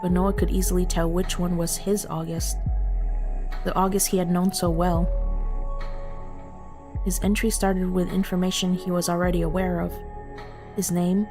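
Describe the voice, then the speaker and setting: A woman's voice: lowish voice, little pitch movement, moderate pace, long pauses. Woman streamer over background music. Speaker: streamer reading a visual novel aloud. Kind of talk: casual chat and story narration.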